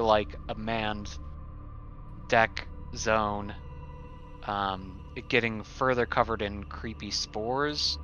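A man playing a tabletop game, hesitantly reasoning through his options out loud. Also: lowish voice. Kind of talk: casual deliberation during a game. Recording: dull lo-fi mic, faint music.

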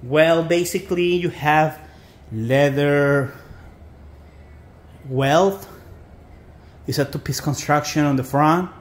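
A man with a Mexican American accent giving a casual, halting, informal product review as he talks to viewers. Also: on the high side. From South Texas. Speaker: boot reviewer.

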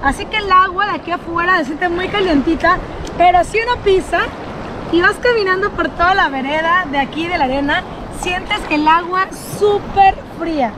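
A young woman vlogging on a sunny beach and in the shallow sea, talking excitedly to her viewers through an action camera held at arm's length. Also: very high-pitched, normal speed, noisy background. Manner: enthusiastic, addresses viewers as family. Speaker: travel vlogger. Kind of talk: upbeat travel vlog to camera.

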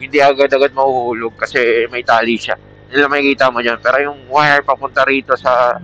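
A Filipino man talks off the cuff as a motovlogger, rambling casually to his viewers. His voice is bright and forceful at an average male pitch. It comes through a helmet intercom mic, so the sound is muffled and lo-fi. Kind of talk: casual rambling vlog talk with product pitch. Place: outdoors, riding a motorcycle at night. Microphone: Bluetooth helmet intercom mic.

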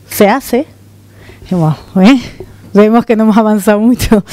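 A middle-aged woman from the Canary Islands giving a lively conference talk on stage with a handheld mic. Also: a little high, no room sound. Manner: lively, gesturing while walking on stage. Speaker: conference speaker. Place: conference room with audience. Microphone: handheld microphone.

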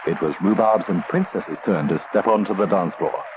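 A man reading a children's story as narrator in an expressive, theatrical way. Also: deep voice, moderate pace. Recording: dull tape transfer, faint noise.

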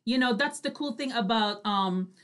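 A woman's voice: fairly high pitch, normal speed. A woman co-hosts an online radio talk show on a video call, chatting casually and effusively with Tagalog tags dropped in. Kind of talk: lively casual co-host chat.

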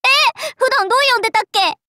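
A woman's voice: very high voice, fast, bright, forceful tone, dry recording. A woman voicing a cheerful, scatterbrained androgynous young male knight in an anime game, playful and impulsive. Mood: bubbly. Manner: bubbly, impulsive, playful exclamations. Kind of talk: anime game character acting.

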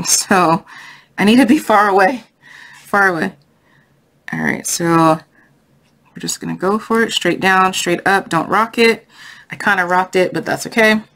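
A woman's voice: mid-range voice, unhurried, pauses often, fairly bright tone. A woman hosting a casual craft tutorial, thinking aloud in a chatty, easygoing, unscripted way. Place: indoors at a craft table. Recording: noisy home recording with clicks.

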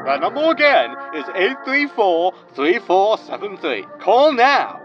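An actor playing a brash, excitable tabloid radio announcer in a science fiction audio sitcom, with a loud, high voice. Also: male voice, a little slow, dull audio, soft background music. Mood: showy hype.

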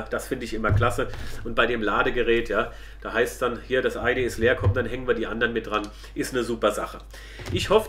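A man's voice: mid-range voice, a bit bright. A man casually demonstrating a product as a reviewer, chatty and colloquial, speaking directly to viewers.

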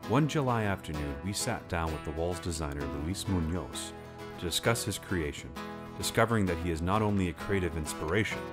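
Deep-voiced male documentary narrator. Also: expressive. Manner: measured, formal. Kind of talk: scripted narration. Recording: voice-over with guitar music behind.

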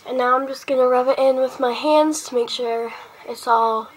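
A woman's voice: sits high, fairly even intonation, moderate pace. A teenage girl who is sick and sniffly, talking casually through a makeup routine step by step in her bedroom. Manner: chatty, step-by-step, talking while applying makeup. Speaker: beauty vlogger. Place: small bedroom indoors. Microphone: handheld device camera close up. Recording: faint background noise, lo-fi device mic.

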